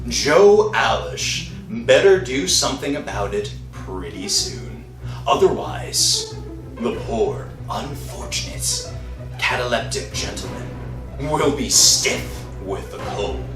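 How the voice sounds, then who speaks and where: Sardonic horror host man, slow and echoey. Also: mid-range voice, big pitch swings, recorded from a distance. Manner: sardonic, mock-sympathetic. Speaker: narrator host of horror series. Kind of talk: theatrical old-time radio horror hosting. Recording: roomy echo with music underneath.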